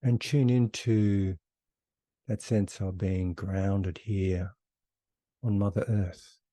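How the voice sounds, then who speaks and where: A man leading a guided meditation as a teacher, unhurried, giving one short phrase at a time with long pauses. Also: low voice, fairly even intonation, mellow, dry recording, clean studio sound.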